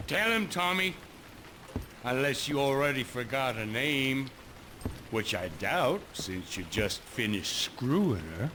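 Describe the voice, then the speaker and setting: An actor playing a cocky, goading, dangerous outlaw brother in a western game, taunting and brazen. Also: male voice, medium pitch, big pitch swings, slow, bright, forceful tone, slight background noise, full character voice. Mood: needling. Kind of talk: western game cinematic acting. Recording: music and rain behind the voice.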